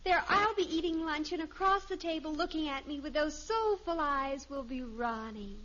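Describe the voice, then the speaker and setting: An actress playing a lovestruck, dramatic teenage daughter in a 1950s radio sitcom, with a gushing, theatrical manner. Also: female voice, high-pitched, animated, normal speed, sharp tone. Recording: muffled, hissy old radio recording.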